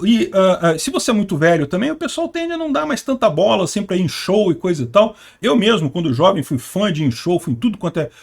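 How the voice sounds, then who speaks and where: Fifty-something Rio man with a high voice. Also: creaky, a little mellow, husky. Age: 50s. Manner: conversational, rambling, with frequent asides and tag questions. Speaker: political commentary channel host. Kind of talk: opinionated solo commentary to camera.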